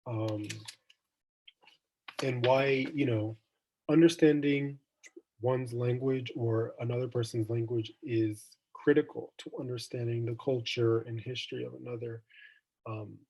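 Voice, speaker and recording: A man's voice: mid-range voice, steady tone, a little slow, mellow, muffled recording. A young man from Jamaica, hosting a casual online student discussion, thoughtful and meandering with frequent ums and restarts. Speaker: student event host.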